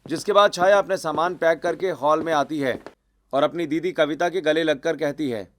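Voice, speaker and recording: Higher-pitched male cartoon story narrator. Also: moderate pace.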